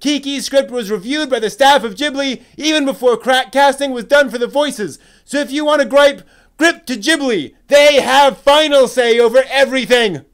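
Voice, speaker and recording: A man's voice: very high voice, moderate pace, smooth, pitched above the performer's own voice. A man voicing an outraged, indignant anime fan in a dramatic reading, emphatic, combative and scolding. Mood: loud, scolding. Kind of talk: dramatic reading of online posts.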